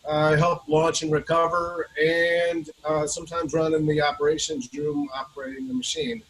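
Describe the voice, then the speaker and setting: A man's voice: on the high side, moderate pace, a bit bright, slightly rough, rough recording. A man from Michigan, an underwater vehicle operator, giving a short, plain introduction of himself. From Michigan, USA. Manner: brief, plain self-introduction.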